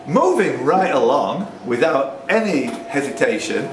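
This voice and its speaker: A man's voice: medium pitch, big pitch swings, a little slow, a little hiss or hum. An older man, reading out pub quiz questions as quizmaster and bantering with the players. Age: older, around 60s. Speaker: quiz master. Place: pub, indoors. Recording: echoey pub, distant mic, music behind.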